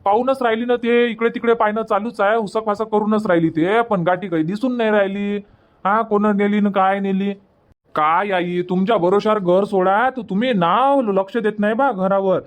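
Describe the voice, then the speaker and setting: Man voicing a high-pitched exasperated cartoon husband. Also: fairly even intonation, moderate pace, natural voice. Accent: Varhadi Marathi from Vidarbha. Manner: insistent, repetitive, reproachful. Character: exasperated husband and son in a village household. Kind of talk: cartoon comedy dubbing.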